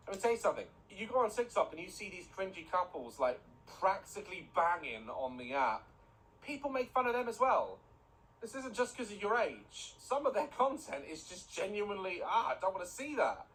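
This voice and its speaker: A man's voice: high voice, very animated, a little fast, poor audio. A man hosting a casual reaction video, blunt and sweary with joking rants, at home with building work nearby. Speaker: YouTube reaction host. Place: home, bathroom being redone nearby. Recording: loud building noise behind.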